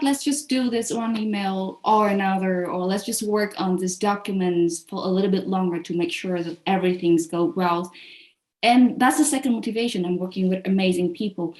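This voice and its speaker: A woman's voice: medium pitch, moderate pace, darkish tone. A woman from Vietnam, speaking candidly and earnestly as a webcast host in casual interview talk. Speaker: culinary association staff member and webcast host. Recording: echoey room sound.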